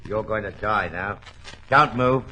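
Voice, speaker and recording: A cold fugitive gunman with a forceful, sharp, fairly low voice. He threatens deliberately in 1940s radio style, over faint recording noise. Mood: menacing. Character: menacing armed killer, cold fugitive robber. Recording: slightly noisy old radio recording.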